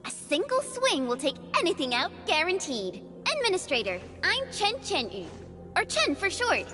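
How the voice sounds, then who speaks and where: High, bouncy, cocky young swordswoman. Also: huge pitch swings, normal speed, over music, heavily acted. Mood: cocky, upbeat. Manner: breezy, cocky, playful. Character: cheerful, boastful young swordswoman special operator. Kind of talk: video game voice acting.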